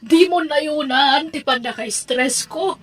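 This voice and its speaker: Stressed, dismissive mother for audio drama, female actor: high voice, impatient, curt and irritable delivery. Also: measured pace. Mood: irritated, stressed. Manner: curt, exasperated. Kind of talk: Ilocano audio drama acting.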